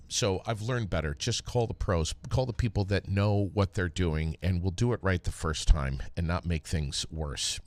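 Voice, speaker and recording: An American man hosting a podcast, talking casually and haltingly with frequent pauses. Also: low voice, a little mellow, dry recording. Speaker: podcast host, former radio DJ. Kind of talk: casual solo podcast talk with read sponsor plug.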